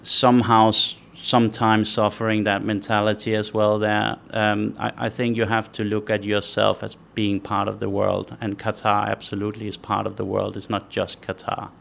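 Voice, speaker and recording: Uomo danese dalla voce bassa e ruvida, ospite di un'intervista, parla in modo misurato e riflessivo. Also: male voice, monotone, vocal fry, fairly bright tone. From Denmark. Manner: measured, thinks aloud with frequent restarts. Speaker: guest, company founder. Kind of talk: conversational interview answers.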